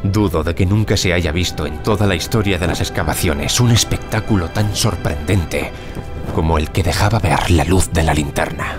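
An actor playing an awed, reflective archaeologist in an audio drama, speaking with solemn, measured wonder. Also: male voice, sits low, lightly acted. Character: Howard Carter, English archaeologist, awed, intense, reflective. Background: music behind the voice.

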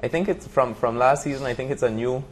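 A man's voice: medium pitch, fast talker, slight background noise. A man answering as a guest in a studio interview, searching for words as he goes. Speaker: basketball league official, interview guest. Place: TV studio set, indoors. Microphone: lapel.

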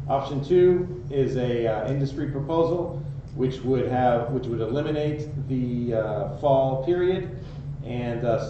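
Man chairing a hearing in an echoey room. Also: mid-range voice. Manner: businesslike, reads out rules with fillers. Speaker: hearing moderator. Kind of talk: public hearing chairing, reading proposals. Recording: echoey room, distant mic, muffled, noisy.

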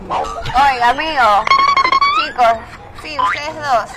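A woman's voice: high-pitched, slow, loud music behind the voice. A young woman hosting a street prank, coaxing and playfully bossing around people who help her. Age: young adult. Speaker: prank video host. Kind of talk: hidden-camera street prank. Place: outdoor street construction site.

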